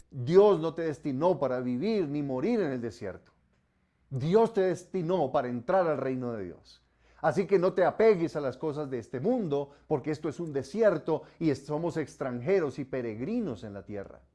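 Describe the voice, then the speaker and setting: Emphatic preacher, higher voice. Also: male voice. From Colombia. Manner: emphatic preaching, rising on commands, dropping low and soft on solemn warnings. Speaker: pastor. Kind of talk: sermon.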